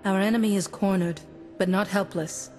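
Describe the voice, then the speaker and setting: Actress as Jedi heroine, mid-pitched, calm, earnest, resolute, game dialogue, music behind. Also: female voice, natural voice. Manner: measured, earnest. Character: calm, resolute young female Jedi hero. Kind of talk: video game voice acting.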